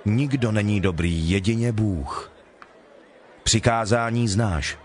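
A man playing Jesus as a solemn, authoritative teacher in a dramatized scripture reading, speaking in measured declarations. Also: lowish voice, a faint crowd, a little hiss or hum, lightly acted. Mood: solemn, instructive. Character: Jesus, solemn teacher telling parables and instructing followers. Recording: music behind the voice.

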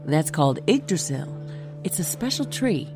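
An actress playing a tactful, gently probing woman in an audio drama. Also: sits low, very animated, moderate pace, music in the background. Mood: gentle coaxing.

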